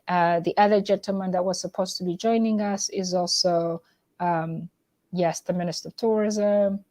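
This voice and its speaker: Lower-voiced woman hosting a livestream. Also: darkish tone, no room sound. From Uganda. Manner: hesitant, lots of fillers and restarts. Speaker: livestream host. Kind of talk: casual livestream chat.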